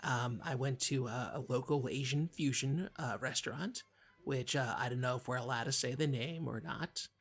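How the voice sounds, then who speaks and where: Halting, gravelly small-town radio co-host played by a man. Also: mid-range voice, faint music behind, lightly acted. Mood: hesitant, earnest. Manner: halting, hesitant, many ums and false starts. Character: eager, bumbling small-town radio co-host, easily carried away. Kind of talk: improvised mock public access radio chat.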